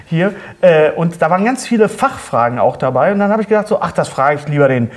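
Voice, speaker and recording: A middle-aged man hosts a relaxed chat show. His voice sits on the higher side for a man. He talks chattily and haltingly, often repeating words as he searches for the next one. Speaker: talk show host. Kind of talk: casual interview chat. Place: small indoor studio set at a table.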